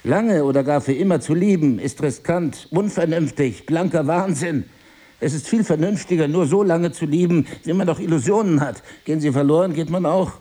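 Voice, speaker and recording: A wounded, devoted husband in middle age, played by a male actor, speaks with a slightly husky voice. He reasons deliberately and articulately, like someone lecturing his wife, with an ironic edge. It is intimate dramatic dialogue from a stage play. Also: a little high, mellow, natural voice. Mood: impassioned, lecturing. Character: reproachful yet devoted husband, wounded, philosophizing crime writer.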